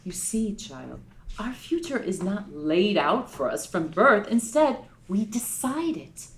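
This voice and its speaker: A man's voice: high-pitched, big pitch swings, pitched above the performer's own voice, exaggerated voice. A man doing a wise, elegant witch teacher. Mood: knowing. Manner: measured, teacherly pronouncements. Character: sophisticated wise female teacher in her forties.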